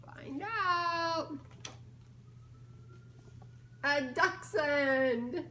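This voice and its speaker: Woman, high-pitched, very slow delivery, playful children's read-aloud, giggly, coaxing questions, noticeable background noise. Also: pushed and bright. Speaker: storybook reader, children's ministry director. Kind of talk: children's story time read-aloud with questions to young listeners.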